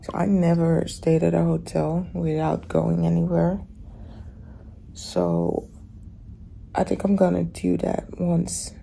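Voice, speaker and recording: A woman vlogging casually from a hotel room in the morning, her voice raspy and often dropping to a whisper. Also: on the low side, animated, slow, pauses often, slight background noise. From Netherlands. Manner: soft, often whispering in the dark.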